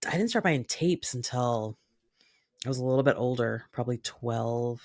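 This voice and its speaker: A woman in her early fifties chatting casually and bantering as a podcast co-host, animated and playfully stubborn. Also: very deep voice, moderate pace, no echo, clean studio sound. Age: early 50s.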